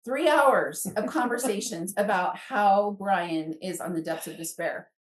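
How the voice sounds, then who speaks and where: A middle-aged American woman speaking as a podcast guest in an animated, conversational storytelling way. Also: mid-range voice, unhurried. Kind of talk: casual chatty storytelling, with some reading aloud from a book. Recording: echoey room, distant from mic.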